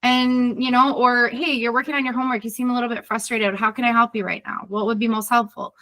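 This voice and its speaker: A woman's voice: fairly high pitch, flat delivery, quick pace, pushed and bright. Earnest woman explaining in conversation. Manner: earnest, explanatory, acts out example questions. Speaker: leadership consultant and podcast co-host, being interviewed. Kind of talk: conversational interview talk.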